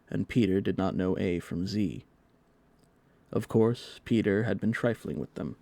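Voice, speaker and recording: A man narrates a storybook in a scripted audiobook style. His voice is fairly low and very mellow, recorded dry with hardly any room sound. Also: vocal fry, no echo.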